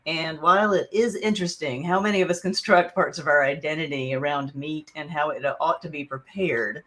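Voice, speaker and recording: A woman's voice: low voice, a little fast, fairly bright tone. Playful woman host from South Carolina. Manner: playful, laughs between lines. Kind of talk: casual chat, library show.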